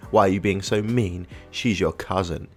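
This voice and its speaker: A man voicing a demanding, pushy middle-aged aunt who makes bossy demands in a fairly low voice. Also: fairly even intonation, moderate pace, soft background music.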